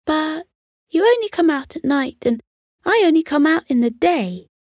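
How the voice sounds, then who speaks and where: A woman's voice: high-pitched, very animated, normal speed, a little mellow, dry recording, clean studio sound, pitched above the performer's own voice. A woman voicing a small, modest, kindly male squirrel with an earnest, bashful manner in a bedtime story. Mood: a little sad. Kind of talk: children's bedtime story character voice.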